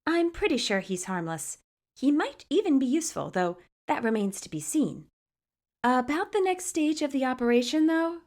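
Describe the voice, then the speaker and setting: A woman acts out a guarded teenage girl hacker with a swooping, animated pitch. She is wry and hesitant, trying to stay vague in a storybook-style reading. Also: normal speed. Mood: reassuring.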